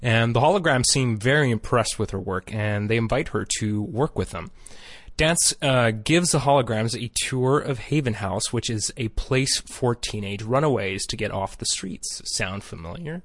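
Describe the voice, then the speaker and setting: A man's voice: mid-range voice, normal speed, no echo, studio quality. A man co-hosting a casual podcast who recaps a cartoon episode in a chatty, hesitant way, often correcting himself. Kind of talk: casual chat mixed with read recap.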